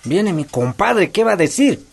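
A man's voice: high-pitched, very animated, normal speed, gravelly, husky, heavily acted. A man playing a grumbling, sluggish husband in a radio soap.

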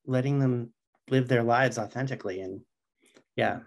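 Earnest, halting middle-aged man. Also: medium pitch, vocal fry. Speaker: interview guest, comic writer. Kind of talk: earnest conversational interview talk. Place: indoors, video call.

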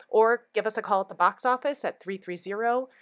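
A woman's voice: mid-range voice, fairly quick, a bit bright. Enthusiastic woman giving a theatre promo. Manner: enthusiastic, emphatic. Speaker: theatre artistic director. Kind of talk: promotional announcement.

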